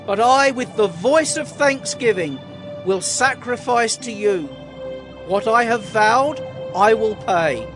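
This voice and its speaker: An actor playing an anguished male prophet in a dramatized scripture reading, praying in a solemn, impassioned, drawn-out way. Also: sits high, expressive. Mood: anguished, prayerful. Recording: music under the voice.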